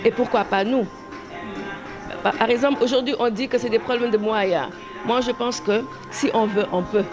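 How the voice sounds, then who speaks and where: A woman speaking in an interview, haltingly and often repeating words. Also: a little high, steady tone. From Senegal. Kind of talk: spoken interview. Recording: music playing behind the voice.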